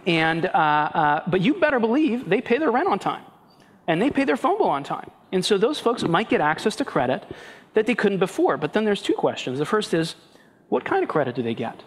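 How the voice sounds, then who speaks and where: A man's voice: high voice, big pitch swings, fairly quick, husky. A man in his thirties from Peru, speaking emphatically as a panelist on a conference stage through a headset mic. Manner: earnest, emphatic with restarts. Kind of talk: panel discussion. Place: large banquet hall on stage. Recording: long hall reverb, faint noise.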